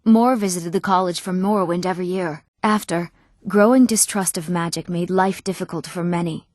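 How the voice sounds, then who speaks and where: A woman's voice: fairly low pitch, fairly even intonation, moderate pace, darkish tone, no room sound, clean studio sound, lightly acted. A woman playing a studious, guarded young elf mage in a fantasy game, youthful and earnest. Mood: explaining. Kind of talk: scripted fantasy game dialogue.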